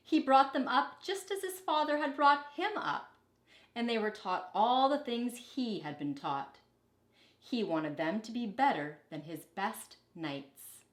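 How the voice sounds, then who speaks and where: A woman teacher reading a children's storybook aloud in an expressive, deliberate way, with brief teaching asides. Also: on the high side. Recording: echoey, reverberant room.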